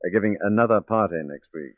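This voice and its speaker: Low-voiced resolute 1940s radio detective played by an actor. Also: male voice, fast, dry recording, lightly acted. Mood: resolute, grave. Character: resolute, righteous male detective working undercover as a secretary. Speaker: detective lead in a radio drama. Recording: muffled 1940s radio recording.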